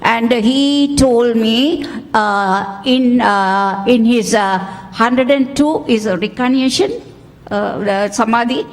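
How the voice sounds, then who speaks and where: A woman from Sri Lanka, speaking as an audience member at a spiritual gathering in halting speech full of fillers. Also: fairly high pitch, low-quality recording. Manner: halting, with many fillers and searched-for words. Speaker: audience member asking a question. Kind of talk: public question at a spiritual gathering. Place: temple hall.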